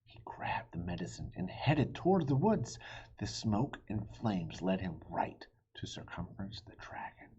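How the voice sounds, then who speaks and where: A man narrates a children's storybook aloud. His voice is very husky and breathy, with lively, expressive pitch movement. The recording is slightly muffled. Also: a little slow, whispered. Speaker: storybook reader.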